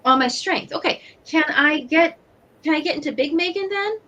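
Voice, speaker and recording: A woman's voice: high-pitched, normal speed, bright, forceful tone, dull audio. A woman chatting casually as a player in a roleplay game, tentative and often asking questions.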